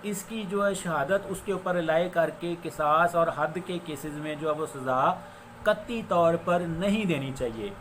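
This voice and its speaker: A Pakistani man with a fairly high voice explains legal points as a lecturer. He speaks very slowly and deliberately, often restating phrases. There is faint noise behind him. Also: a bit bright. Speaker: law lecturer, advocate. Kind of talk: explanatory law lecture mixing Urdu with English legal terms.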